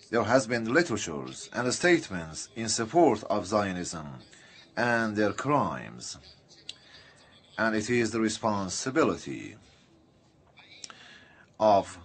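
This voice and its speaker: A man delivers a live interpretation of a formal political speech. His pace is slow and halting, broken into uneven bursts. His pitch swings widely through the phrases, giving the delivery an animated feel. His voice sits a little on the low side.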